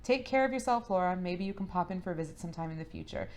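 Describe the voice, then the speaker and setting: Soft-spoken woman podcast co-host. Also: on the low side, rapid-fire, slight background noise. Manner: relaxed, soft-spoken, trails off quietly. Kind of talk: casual chat, reading listener mail.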